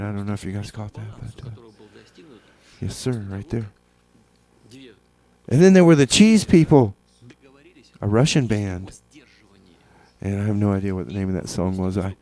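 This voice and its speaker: A man hosting a community radio music show, chatting casually in a halting, rambling way with deadpan comedy. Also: medium pitch. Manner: hesitant, rambling, halting with repeated words. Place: small community radio studio.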